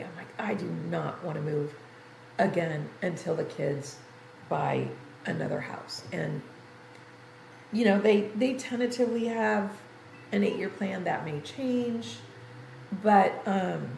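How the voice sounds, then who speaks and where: A woman's voice: lowish voice, creaky, darkish tone. An older woman livestreamer chatting casually and ramblingly to her viewers, sounding congested and tired. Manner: rambling, conversational. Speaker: game livestreamer. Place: room in an apartment. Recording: roomy echo, faint background noise.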